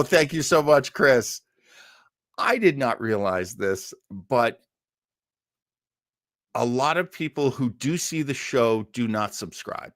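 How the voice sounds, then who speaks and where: A man hosts a casual livestream in a middle-pitched voice. He rambles chattily to his viewers, stopping and starting as he goes. The sound is dry, with very little room echo. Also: fairly bright tone. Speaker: host of a livestream show.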